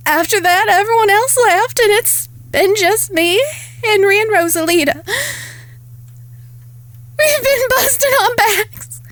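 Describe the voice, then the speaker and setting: Woman acting Old West ranch woman, high-pitched, Southern American accent, proud, wronged, Western audio drama. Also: a bit bright, dry recording, full character voice. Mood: tearful, distressed.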